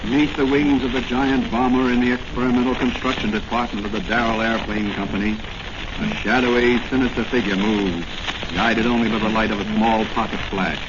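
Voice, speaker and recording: An actor as the narrator of a 1940s radio serial, declaiming in a solemn, suspenseful style. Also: male voice, medium pitch, fairly even intonation, brisk, lightly acted. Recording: noisy, muffled old radio recording.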